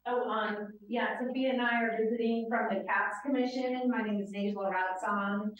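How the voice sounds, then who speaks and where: A woman's voice: a little high, steady tone, moderate pace. A woman visiting from another commission, introducing herself hesitantly at a public meeting. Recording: echoey muffled room from far off with hum.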